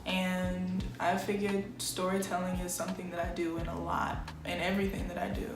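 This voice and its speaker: A twenty-year-old American woman from Chicago chats casually to camera as a vlogger, slangy and often interrupting herself. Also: on the low side. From Chicago, United States. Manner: informal, self-interrupting, slangy. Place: small plain-walled room indoors. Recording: echoey room with music underneath. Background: music.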